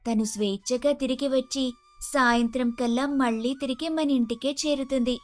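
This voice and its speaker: A woman playing an earnest young daughter-in-law in an animated moral story, over music. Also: high voice, moderate pace, lightly acted. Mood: persuasive. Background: faint music.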